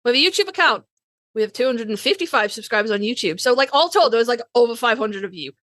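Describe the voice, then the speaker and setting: A British woman with a somewhat high voice chats as a podcast co-host. Her delivery is informal and peppered with swearing, and the sound is dry with no room echo. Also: lively intonation, quick pace, fairly bright tone. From United Kingdom. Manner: casually sweary. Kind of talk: casual fandom podcast chat.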